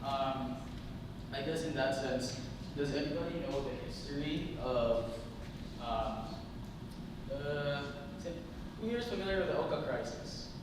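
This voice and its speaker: A man's voice: mid-range voice, unhurried, long pauses. A man speaking informally as a forum panelist, putting questions to the audience in a casual, tentative way. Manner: casual, questioning, hedging with "like" and "right". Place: large indoor arts venue. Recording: noisy, echoey hall recorded from far off.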